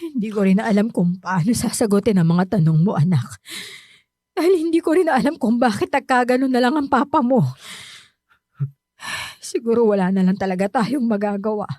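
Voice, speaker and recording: A woman's voice: fairly high pitch, normal speed, darkish tone, no echo, studio quality, close to an everyday voice. A woman with a Filipino accent playing a bitter, wounded middle-aged mother in an emotional, melodramatic radio drama. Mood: sorrowful, apologetic.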